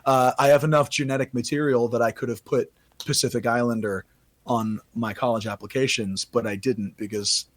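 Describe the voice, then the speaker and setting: A man with a raspy voice, a singer-songwriter guest chatting casually on a podcast, often restarting his sentences. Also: mid-range voice, steady tone, moderate pace, dry recording. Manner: rambling, self-correcting casual talk.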